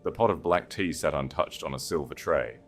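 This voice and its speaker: A man's voice: very deep voice, moderate pace, faint music behind. A man narrating a scripted story as a dramatic audiobook reader.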